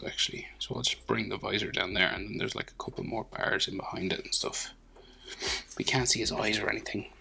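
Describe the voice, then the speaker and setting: A man with a head cold, sniffling as he talks through a drawing. His voice is low, very gravelly and bright-edged, and he thinks aloud with lots of fillers, sometimes sinking into a whisper. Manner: thinking aloud, hesitant, many fillers, drops to near whispers. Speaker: drawing tutor. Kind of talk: casual drawing commentary.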